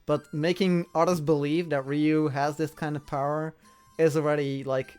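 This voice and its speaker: A man's voice: a little high, little pitch movement, moderate pace, a little mellow, clear, resonant tone, soft background music. A man chatting casually as an interviewer, explaining things in a rambling way and often repeating himself. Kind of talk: casual chat.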